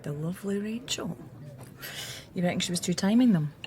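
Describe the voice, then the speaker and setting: An actress playing a sharp, wry younger police detective in a radio drama, probing and dryly teasing. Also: female voice, lowish voice, lively intonation, brisk, lightly acted. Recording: clear background ambience noise.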